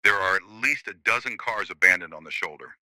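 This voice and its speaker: A man's voice: sits low, lively intonation, fairly quick, sharp tone, dry recording, heavily acted, low-quality recording. An actor playing an alarmed man radioing dispatch, urgent and terse.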